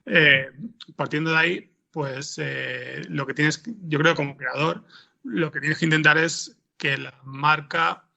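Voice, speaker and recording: Gravelly-voiced man from a Spanish interview, searching. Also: on the high side, steady tone, takes it slowly, pushed and bright. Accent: Spanish (Spain). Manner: hesitant, searching for words. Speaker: interview guest, audio fiction screenwriter. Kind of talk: casual interview answers.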